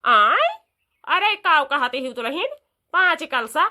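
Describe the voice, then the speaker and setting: Cartoon village mother-in-law, woman performer: older, devout, chatty, fairly high bright voice, eastern UP accent. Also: very animated, slow, full character voice. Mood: instructing, emphatic. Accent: eastern Uttar Pradesh. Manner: emphatic, repeats phrases, folksy lecturing. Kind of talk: comedy cartoon dialogue.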